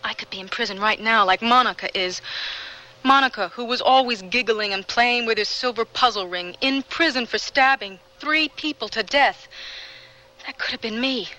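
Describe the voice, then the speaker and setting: An actress playing a troubled young woman with a guilty past, confessing earnestly and haltingly in a radio drama. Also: a little high, bright, forceful tone, poor audio. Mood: guilt-ridden.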